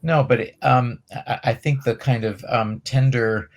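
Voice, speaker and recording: A man moderating a Q&A, speaking conversationally and haltingly as he searches for his words. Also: medium pitch, steady tone. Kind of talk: conversational interview questions.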